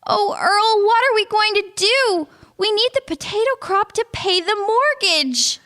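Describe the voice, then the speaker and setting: Woman acting piercingly high, fretful farm wife. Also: lively intonation, a little slow, pushed and bright, heavily acted. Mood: anxious. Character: anxious farm wife fretting over the mortgage. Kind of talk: amateur historical drama.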